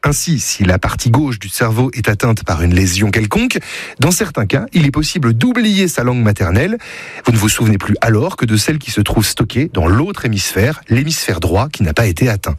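A man with a slightly husky voice reads a scripted radio piece, explaining with dry, wry humor. The studio sound is very dry, with no room echo. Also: mid-range voice, big pitch swings, a little fast. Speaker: radio columnist.

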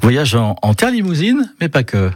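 A man presenting a morning radio segment in a lively patter. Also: a little high, normal speed, no echo. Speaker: radio host.

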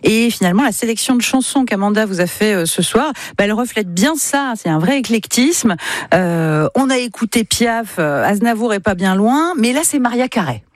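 Radio host with dry close sound. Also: male voice, sits high, animated, moderate pace, no echo. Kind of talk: radio interview.

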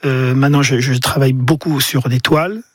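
Un homme d'âge mûr, originaire de Paris, invité d'un studio de radio, parle de son travail de peintre avec beaucoup d'hésitations. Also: male voice, medium pitch, fairly even intonation, fairly quick, husky, dry recording. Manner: hesitant, many fillers and restarts. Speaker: painter and guest. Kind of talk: radio interview answers. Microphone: studio microphone.

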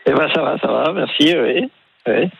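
Very creaky, halting man calling in. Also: on the high side, huge pitch swings, a bit bright, no room sound, highs cut off. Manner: hesitant, halting, repeats words, agreeable. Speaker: regular listener calling in to a radio show. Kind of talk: casual chat.

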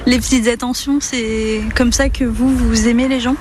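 A woman's voice: on the high side, fairly even intonation, normal speed, a little mellow, slight background noise. A woman interviewing someone casually as a radio reporter.

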